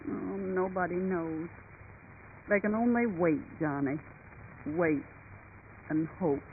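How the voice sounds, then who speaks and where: A woman's voice: fairly low pitch, slow. Anxious mother on tinny old radio. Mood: soothing, sad. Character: anxious, protective rural mother of a young boy. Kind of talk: 1930s radio acting. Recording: tinny, noisy 1930s radio recording.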